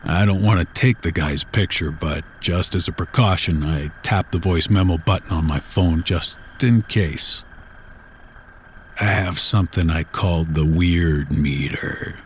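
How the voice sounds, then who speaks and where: A man tells a first-person horror story in a casual, folksy, unhurried, conversational way, like someone recounting his own memories. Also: sits low, slight background noise. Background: background music.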